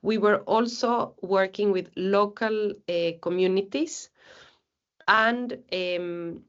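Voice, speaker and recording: A woman's voice: mid-range voice, fairly even intonation, takes it slowly, fairly bright tone, smooth, dull audio. Earnest woman researcher giving a seminar. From Ecuador. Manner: earnest, reflective, with frequent restarts. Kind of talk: academic seminar presentation.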